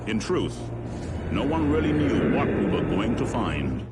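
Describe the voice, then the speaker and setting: An actor playing a solemn, weary rebel fleet pilot who gives a measured, portentous account of a doomed war as dramatic game narration. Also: male voice, fairly low pitch, big pitch swings, very noisy. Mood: somber, bitter. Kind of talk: dramatic scripted cutscene narration. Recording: voice under loud music. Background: loud music.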